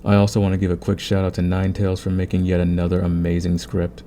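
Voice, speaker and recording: A man's voice: sits low, monotone, fast, dark tone, slight background noise. A man hosting his audio channel, talking casually in an outro and thanking collaborators. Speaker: audio roleplay creator, host.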